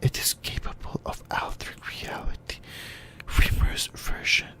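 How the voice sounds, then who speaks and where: A person whispering breathily in a halting ASMR explainer about anime lore, often repeating phrases. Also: bright, forceful tone. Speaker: YouTuber, ASMR host.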